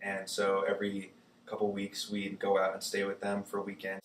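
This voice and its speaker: A young man from Germany, a native German speaker, casually telling his life story in an interview, relaxed and full of fillers like "like" and "uh". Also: deep voice, normal speed, roomy sound, recorded from a distance, a little hiss or hum. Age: young adult.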